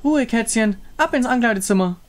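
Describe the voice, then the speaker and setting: Mann spielt hohe, verspielte junge Frau. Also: male voice, very high-pitched, animated, a little fast, voice pushed up. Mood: gleeful.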